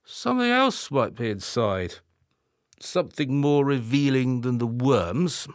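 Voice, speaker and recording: A fretful, slow-talking henpecked husband. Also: male voice, mid-range voice, big pitch swings, no echo, studio quality. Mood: fretful, intrigued. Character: anxious, hard-drinking, henpecked middle-class husband. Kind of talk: radio play acting.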